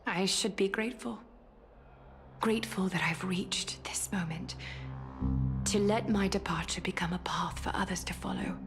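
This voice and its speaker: An actress playing an ancient, regal, coolly composed demigoddess in a video game, speaking in a measured, soft, stately way. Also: female voice, mid-range voice, close to an everyday voice. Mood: wistful, resigned. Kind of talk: dramatic video game voice acting. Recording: loud music under the voice. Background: game music.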